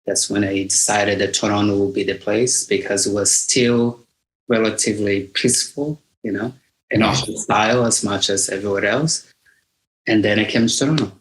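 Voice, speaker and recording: A middle-aged Brazilian man with a fairly low voice and a Brazilian Portuguese accent in his English. He is a singer-songwriter being interviewed, speaking conversationally and thoughtfully as he feels out his words. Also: moderate pace, low-quality recording. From Bahia, Brazil.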